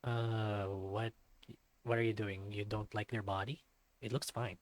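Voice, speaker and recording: A man's voice: on the low side, level tone, a little slow. A man reacting casually as he reads a visual novel aloud for a let's-play.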